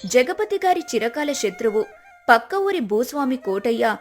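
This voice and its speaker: A woman's voice: high-pitched, big pitch swings, moderate pace, faint music behind. A woman narrator telling a scripted folk tale.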